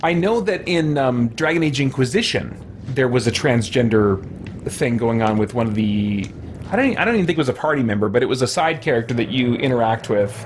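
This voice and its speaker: A middle-aged man giving casual live let's-play commentary, musing and thinking aloud in halting asides. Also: mid-range voice, expressive, heavy background noise. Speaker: game streamer. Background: game music.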